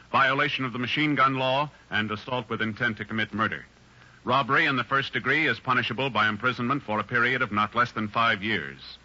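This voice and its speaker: A man acting as a stern, official radio announcer, reading measured scripted narration in 1950s style. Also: mid-range voice, bright, forceful tone. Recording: dull 1950s radio recording.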